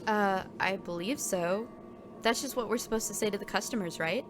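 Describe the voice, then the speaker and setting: A woman's voice: medium pitch, normal speed, natural voice. A woman playing a weary, downtrodden coffee shop worker in a scripted audio drama. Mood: anxious, protesting. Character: weary, downtrodden barista narrating their own workday in second person. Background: music.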